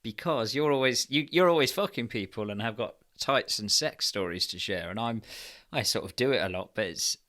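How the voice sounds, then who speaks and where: A British man talks in a loose, conversational podcast style. His voice has a clearly bright, crisp edge. He tends to ramble and cut across his own sentences. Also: on the low side, lively intonation, normal speed. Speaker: podcast co-host. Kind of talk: casual podcast chat.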